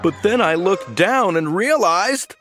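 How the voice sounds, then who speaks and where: Guy reading an audiobook, slow, pitch all over, faint music under it. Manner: lively storytelling, slow and animated. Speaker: audiobook narrator. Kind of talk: first-person audiobook narration.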